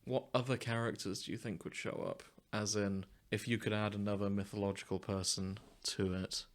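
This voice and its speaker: A man's voice: fairly low pitch, little pitch movement, moderate pace. A man co-hosting a podcast in casual, jokey banter with friends, often teasing them. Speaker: podcast co-host. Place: indoors, three people in one room.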